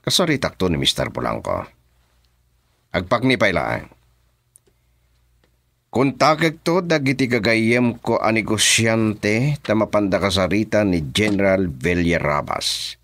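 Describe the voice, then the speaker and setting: A man's voice: lowish voice, sing-song, measured pace, creaky, long pauses, fairly bright tone, no echo, exaggerated voice. A man with a Filipino accent acting a scheming, commanding male boss in a radio drama. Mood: scheming, businesslike.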